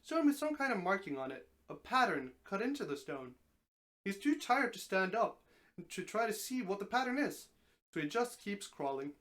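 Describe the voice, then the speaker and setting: A mid-range voice reads a story aloud in a live, dramatic style. The narration is expressive, and the reader now and then trips over a phrase and takes it again. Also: medium pitch, normal speed. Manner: expressive narration, occasional stumbles and repeated phrases. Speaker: streamer reading a story aloud.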